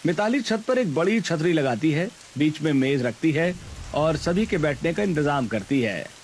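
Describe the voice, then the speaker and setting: A man's voice: on the high side, animated, normal speed, a little hiss or hum. A man reading scripted storybook narration for a Hindi cartoon tale. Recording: background music under voice.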